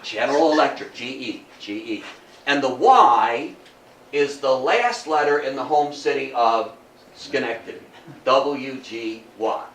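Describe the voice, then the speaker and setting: A man's voice: medium pitch, unhurried, fairly bright tone. Echoey, emphatic older man giving a talk. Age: 70s. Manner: emphatic, storytelling, rhetorical questions. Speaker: guest lecturer on radio history. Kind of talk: public history talk to a live audience. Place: indoor room at a public talk. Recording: echoey room, distant mic, faint noise.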